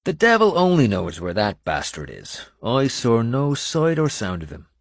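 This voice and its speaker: A male reader puts on a gruff fighting man from a historical audiobook. He is wry, blunt and earthy. The voice is husky and moves widely in pitch, with a loyal soldier's grim humour. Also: wildly swooping pitch, moderate pace, no echo, clean studio sound, heavily acted. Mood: grim, scornful.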